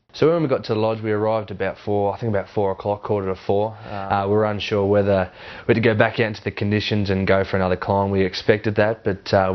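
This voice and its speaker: Low, even-voiced young Australian man. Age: 20s. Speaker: Australian rules footballer. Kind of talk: casual interview to camera. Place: warm indoor lounge with a fireplace. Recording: slightly dull sound.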